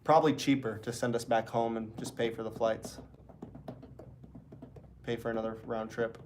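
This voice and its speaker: A man's voice: mid-range voice, normal speed, background noise. A man who casts esports, chatting casually to his stream from a hotel room, with a stuffy nose. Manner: rambling, relaxed, talking to chat. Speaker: esports caster. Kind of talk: casual livestream chat.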